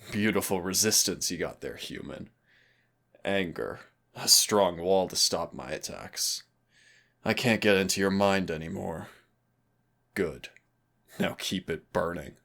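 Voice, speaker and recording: Casting: male actor as smug demon trainer for audio roleplay, very low register, unhurried delivery, menacing but tender. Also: fairly bright tone, exaggerated voice. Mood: commanding, menacing. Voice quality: gravelly, low. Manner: slow, smug, teasing, addresses listener directly. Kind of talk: intimate audio roleplay drama.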